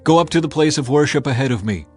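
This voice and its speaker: A man's voice: a little high, expressive, fast, darkish tone, faint music behind, close to an everyday voice. A man playing a commanding old prophet and seer in a dramatized scripture reading. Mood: assured.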